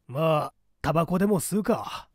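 A man's voice: a little high, lively intonation, moderate pace, no echo, studio quality. A man voicing a rigid, zealous party loyalist in an audiobook drama, with an emphatic, declamatory delivery. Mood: measured. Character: rigid, zealous male party loyalist preaching iron discipline. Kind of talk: dramatic audiobook character dialogue.

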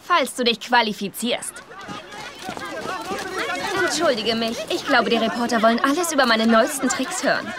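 A woman playing a cocky, jealous young female surfer in an animated film, mocking and boastful. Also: fairly high pitch, normal speed, pauses often, a crowd in the background, close to an everyday voice.

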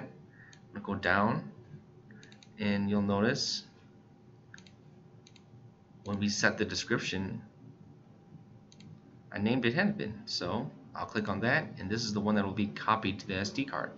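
A low-pitched American man with a bright, forward edge to his voice presents a casual software tutorial. He gives plain, step-by-step instructions. The recording is a little dull and lo-fi. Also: slight background noise.

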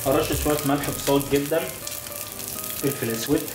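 Egyptian guy rattling through a recipe at the stove, roomy kitchen, loud music under it. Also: a little high, brisk. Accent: Egyptian Arabic. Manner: chatty, explains each step while cooking. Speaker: cooking show host. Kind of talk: casual cooking demonstration.

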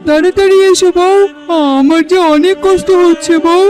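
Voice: very high-pitched, steady tone, normal speed, music in the background. An actor voicing a lazy, henpecked husband fox in a children's cartoon, whiny and dramatic, often muttering to himself. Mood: panicked. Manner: whining, exclaiming, muttering to himself. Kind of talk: children's fairy-tale cartoon voice acting.

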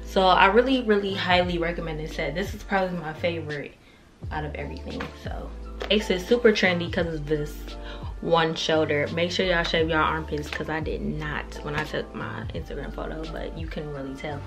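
Slangy young woman, low-pitched, music behind. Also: moderate pace. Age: young adult. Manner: slangy, candid, swearing. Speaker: fashion vlogger. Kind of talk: casual chatty try-on haul. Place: carpeted bedroom indoors.

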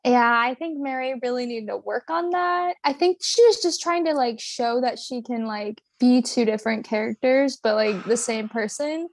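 A woman's voice: fairly high pitch, moderate pace, few pauses, dry recording. Giggly teen girl podcast guest. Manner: giggly, easygoing. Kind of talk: casual chat.

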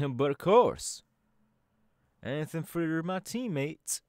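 A man's voice: on the high side, big pitch swings, slow pace, a little mellow, no room sound, studio quality, heavily acted. A man voice acting a laid-back young male DJ gang boss in an ASMR audio roleplay, talking in an easygoing, chatty drawl. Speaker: voice actor.

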